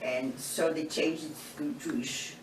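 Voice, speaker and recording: A woman, from eastern Poland, giving oral history testimony in an unhurried storytelling way, often restarting her phrases. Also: sits low, fairly even intonation. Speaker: Holocaust survivor interviewee. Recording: roomy, distant mic, background noise.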